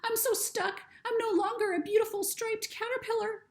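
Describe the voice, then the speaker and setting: Squeaky pleading butterfly. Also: female voice, fairly even intonation, normal speed. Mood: regretful. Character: frightened pleading butterfly stuck in cocoon.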